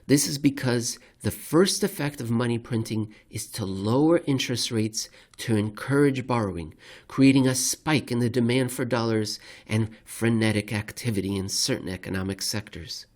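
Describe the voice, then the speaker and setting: A man narrating a scripted commentary with deliberate, somewhat theatrical phrasing. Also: mid-range voice, darkish tone. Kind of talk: scripted reading of economic commentary.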